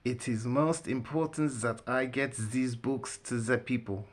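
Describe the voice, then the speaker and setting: A man's voice: mid-range voice, fairly even intonation, a little slow, natural voice. A man voicing an urgent, commanding German prophet in a storybook, with a clipped, formal German accent. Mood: insistent.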